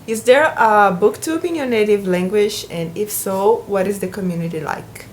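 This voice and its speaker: A woman's voice: medium pitch, normal speed, a little hiss or hum. A young woman from Brazil with a Brazilian Portuguese accent, speaking English in a casual book vlog, hesitant, with many restarts. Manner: hesitant, many restarts and fillers, warm laughs between thoughts. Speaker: booktuber.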